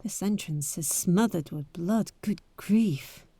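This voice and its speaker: Woman doing brave caring adventurer lady, audio roleplay, takes her time, breathy hesitant bits, really dry sound. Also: on the low side, slow pace, warm tone, no echo, heavily acted. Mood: hushed, wary. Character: bold adventurer heiress, adult woman, caring and wary. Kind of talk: audio roleplay acting addressed to the listener.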